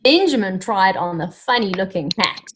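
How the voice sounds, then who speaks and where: A woman reading a story aloud to children, animated and encouraging, pausing to ask them questions directly. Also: mid-range voice, slight background noise. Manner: animated, encouraging, addresses young listeners directly.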